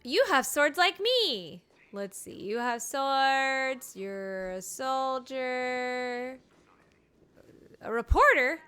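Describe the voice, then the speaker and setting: A woman's voice: high-pitched, lively intonation, extremely slow, pushed and bright, smooth, soft background music. A woman game streamer giving playful, casual commentary and reading dialogue choices aloud.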